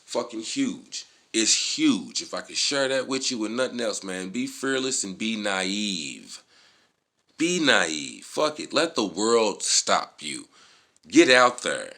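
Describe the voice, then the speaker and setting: A man's voice: fairly low pitch, big pitch swings, takes it slowly, vocal fry, sharp tone. An American man giving a casual motivational vlog talk, emphatic and slangy, swearing freely and repeating key words. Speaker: vlogger.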